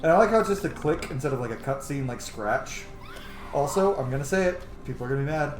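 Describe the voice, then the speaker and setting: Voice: mid-range voice, lively intonation, fast talker, reverberant. A gaming host giving casual, chatty, reactive let's-play commentary. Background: video game music.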